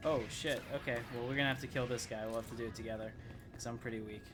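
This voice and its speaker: A man's voice: medium pitch, steady tone, racing. A man streaming a video game, giving casual live commentary as he plays. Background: game music.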